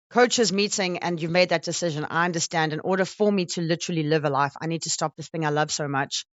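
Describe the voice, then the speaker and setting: A woman interviewing a guest in an empathetic way, asking warm, probing questions. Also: lowish voice, dry recording, highs cut off.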